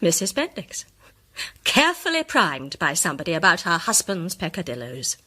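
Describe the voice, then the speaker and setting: A self-assured British lady detective in a radio drama. Her voice is bright and slightly husky, with lively swings of pitch. She lays out her case articulately and with condescending confidence. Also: normal speed, heavily acted. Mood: assured, incisive. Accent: British English. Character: confident, incisive woman amateur detective, briskly superior. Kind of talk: radio drama acting, polished monologue.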